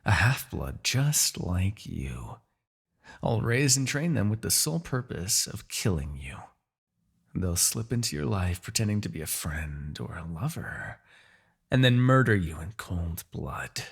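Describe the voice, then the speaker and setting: A man playing a proud, vengeful demon king in a dramatic fantasy audio roleplay, with a husky, gravelly voice and a theatrical, gloating manner. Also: sits low, big pitch swings, a little slow, full character voice. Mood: gloating, menacing. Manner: theatrical, gloating, with sighs and dark chuckles.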